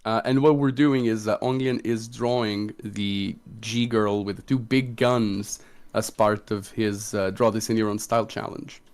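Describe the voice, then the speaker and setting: A man's voice: lowish voice, fairly even intonation, a little slow, darkish tone, smooth. A man who designs tabletop games, chatting casually as co-host of a question-and-answer livestream.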